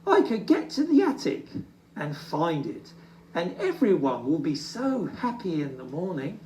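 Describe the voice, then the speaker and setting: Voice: medium pitch, sing-song, measured pace, darkish tone, slight background noise. A storybook reader voicing a small, eager and anxious young male toy bear, earnest and exclaiming. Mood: determined. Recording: echoey room, distant from mic.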